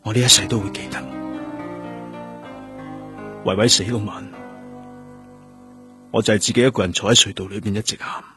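A man's voice: on the low side, steady tone, moderate pace, pauses often, lightly acted. A man acting a grieving, introspective police detective in a Cantonese radio drama, with a Hong Kong accent and guitar music behind him. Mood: sombre, grieving. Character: brooding, grieving male police detective haunted by loss. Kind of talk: radio drama acting with first-person narration. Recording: music under the voice.

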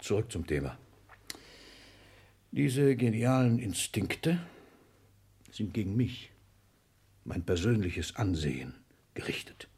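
Imperious old magnate, swelling into declamation. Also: male voice, lowish voice, sing-song, slow, pauses often, full character voice. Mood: scornful, brooding. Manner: imperious, declamatory, bursting from muted asides into shouts. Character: domineering seventy-year-old excellency, vain, combative industrial magnate. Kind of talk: 1960s German radio drama acting.

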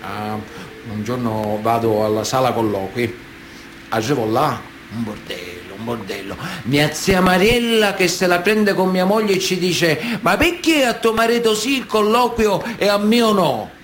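Sicilian mafioso bragging, cocky storyteller, kinda high voice, radio drama, bit of hiss. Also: male voice, measured pace, fairly bright tone. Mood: amused, indignant. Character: boastful Sicilian mafioso recalling prison life. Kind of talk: theatrical radio drama monologue.

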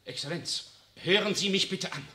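An actor playing a desperate official in a 1960s radio drama, pleading urgently. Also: male voice, high voice, normal speed, bright, forceful tone.

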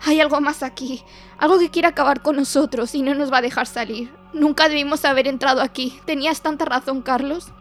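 A woman playing a brash, sceptical young ghost debunker, bossy and forceful, in a horror audio drama. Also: sits high, fairly even intonation, brisk, faint music behind, natural voice. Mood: alarmed.

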